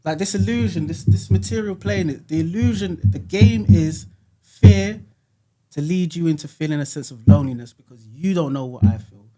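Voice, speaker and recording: A man's voice: on the high side, moderate pace, dark tone. A man talking earnestly and reflectively as a podcast guest, often repeating words as he thinks. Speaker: music artist guest. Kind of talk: casual podcast conversation. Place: podcast studio.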